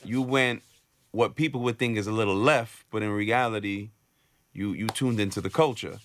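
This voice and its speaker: A man's voice: on the low side, moderate pace, a bit bright, a little hiss or hum. A man hosting a podcast, hyped and encouraging, speaking to his listeners. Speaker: podcast host. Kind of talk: casual interview chat.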